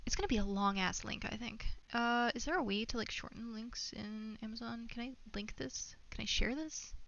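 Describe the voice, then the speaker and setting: A woman's voice: a little high, moderate pace, vocal fry, fairly bright tone, lo-fi sound. A woman live streamer chatting casually to her viewers while gaming, rambling and thinking aloud.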